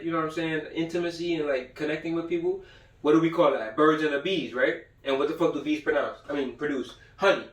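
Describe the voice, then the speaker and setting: A man giving an informal lecture, reading passages aloud and commenting in blunt, profane slang, repeating himself for emphasis. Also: fairly high pitch. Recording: roomy echo, far from the mic.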